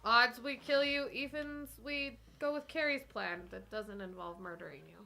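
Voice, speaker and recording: Female game player, high bright voice, casual back-and-forth banter. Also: moderate pace, vocal fry.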